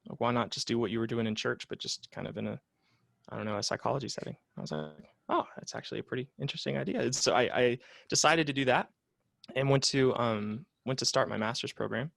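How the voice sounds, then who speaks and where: A man's voice: mid-range voice, fast talker, vocal fry, fairly bright tone, no room sound, studio quality. A man in his late twenties, a psychotherapist, talking reflectively in a casual interview with plenty of fillers and restarts. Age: 20s. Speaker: psychotherapist guest.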